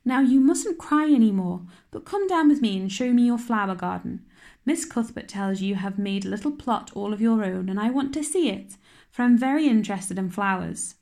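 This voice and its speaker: A kindly, merry minister's wife, as a woman reader puts her on, a little higher than the reader's own voice. She soothes slowly and gently, with warmth in every word. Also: vocal fry, natural voice. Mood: soothing.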